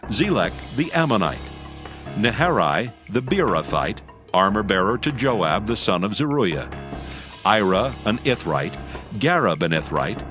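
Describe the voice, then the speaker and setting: A man narrating a dramatized scripture as scripted audio, with solemn, measured storytelling in archaic phrasing. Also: fairly low pitch, sing-song. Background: music.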